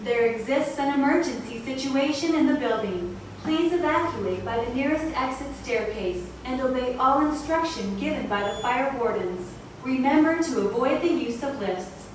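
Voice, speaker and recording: A woman reading a formal, measured, scripted public address announcement, played through an intercom speaker. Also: sits high, animated. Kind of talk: scripted pre-recorded announcement. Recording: very echoey intercom playback, distant, faint noise.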